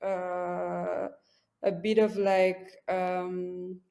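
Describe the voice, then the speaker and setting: Soft-spoken woman with a Belgian French accent. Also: lowish voice, completely flat delivery, very slow. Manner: soft-spoken, searching for words with frequent "uh"; laughs lightly while speaking. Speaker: guest psychiatrist. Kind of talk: casual interview talk.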